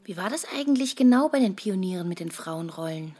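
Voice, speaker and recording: A woman's voice: medium pitch, animated, brisk, warm tone, husky, faint music behind. A blunt theatre actress in a radio play. Her mid-range voice is direct and challenging. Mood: matter-of-fact.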